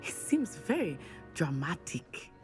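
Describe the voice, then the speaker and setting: Game role: gracious schoolgirl witch, thoughtful and formal, slightly low female register, music behind. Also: big pitch swings, heavily acted. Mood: thoughtful, assured. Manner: measured, formal, thoughtful phrasing. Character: teenage witch student, poised, gracious, fond of her old school. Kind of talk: video game dialogue acting.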